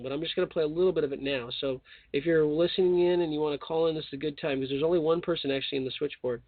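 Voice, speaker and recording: A man's voice: a little high, no room sound. A man hosting a call-in radio show, talking casually and rambling with joking asides. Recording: tinny phone line.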